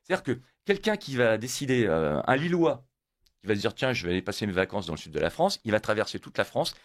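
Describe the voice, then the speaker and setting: A man's voice: lowish voice, big pitch swings, quick pace, no room sound, studio quality. A man born in Italy, a guest on a radio interview, talking emphatically and repeating words when pressed. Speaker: guest, motorcyclists' federation coordinator.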